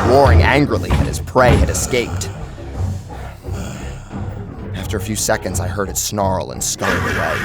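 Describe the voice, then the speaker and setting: A man reading a scripted first-person horror story as a narrator, with a dramatic, theatrical delivery. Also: on the low side. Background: loud music.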